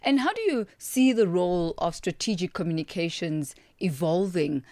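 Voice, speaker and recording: A woman's voice: on the low side, lively intonation, moderate pace, mellow, no echo. A woman hosting a formal radio interview in a polite manner.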